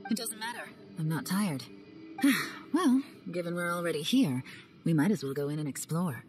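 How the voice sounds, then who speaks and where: A composed, secretive spy, voiced by a woman, speaking in cool, clipped lines in a game cutscene. Her voice sits a little low. Faint music plays behind her. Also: lively intonation, moderate pace, darkish tone, natural voice. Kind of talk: video game cutscene voice acting.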